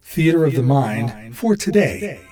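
A man's voice: medium pitch, sing-song, measured pace. A man announcing a radio theater program in a scripted promotional style. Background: music.

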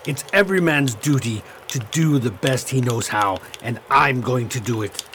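A man's voice: mid-range voice, moderate pace, very noisy, natural voice. A man playing a genteel, brave old stage magician in a radio play, with a formal, avuncular delivery. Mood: resolute.

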